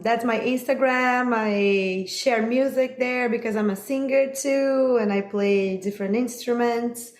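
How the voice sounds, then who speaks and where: A woman, a musician and guest, talking in a halting way with many pauses and fillers. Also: fairly high pitch, reverberant. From Brazil. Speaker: guest, musician and sound healer. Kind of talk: casual interview talk.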